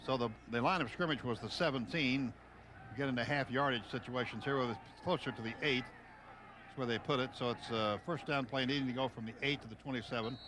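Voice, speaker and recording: A man's voice: mid-range voice, a little fast. A man doing live play-by-play of a football game on the radio, describing the action in clipped bursts over a noisy outdoor stadium. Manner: clipped running description, excitable on big plays.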